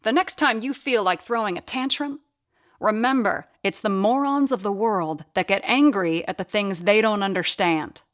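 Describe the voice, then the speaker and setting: An actress voicing a wry, sharp-tongued woman who does radio sound effects in a 1930s theater, narrating in character in an audio drama. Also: medium pitch, moderate pace, dry recording, studio quality, lightly acted. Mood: stern. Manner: wry, sardonic.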